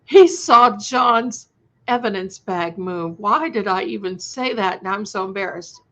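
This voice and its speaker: A woman's voice: medium pitch, measured pace, a bit bright. A woman hosting a casual livestream, answering viewers in a scattered, affectionate way full of endearments. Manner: gushing, affectionate terms of endearment, scattered and conversational. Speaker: livestream host and channel creator. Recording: dull, lo-fi livestream audio.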